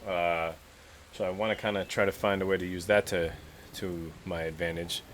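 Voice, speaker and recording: A man's voice: deep voice, steady tone, moderate pace, a little hiss or hum. A man talking casually as a player in a tabletop role-playing game.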